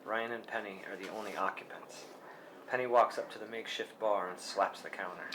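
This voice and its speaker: A man with a fairly low voice reads out a film script's stage directions. It is scripted narration at a table read, recorded with clear background noise. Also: fairly quick, sharp tone, rough recording.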